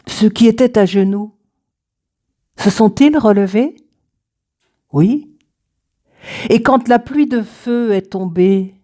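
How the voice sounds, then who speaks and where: Very slow, mellow woman reciting poetry. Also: mid-range voice. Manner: measured, deliberate phrasing with dramatic pauses. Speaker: poet reading own work.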